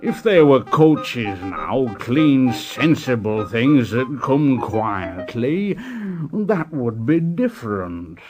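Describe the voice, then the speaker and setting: A man voicing a big, proud, grumpy steam engine in a storybook, with slow, pompous, drawn-out speech. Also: mid-range voice, big pitch swings, highs cut off, music in the background. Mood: cross, sulky. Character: big, proud, cross, self-pitying male steam engine.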